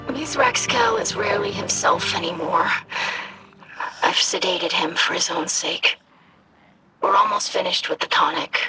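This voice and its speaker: A woman's voice: fairly low pitch, low-quality recording. A woman voicing a young, caring alien scholar in a video game, speaking in an earnest, careful way. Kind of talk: video game dialogue acting.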